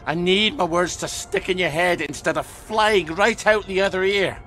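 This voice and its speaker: A blunt, haunted former soldier for a video game. His voice sits a bit high for a man, gruff and sardonic, with music underneath. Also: moderate pace, pushed and bright.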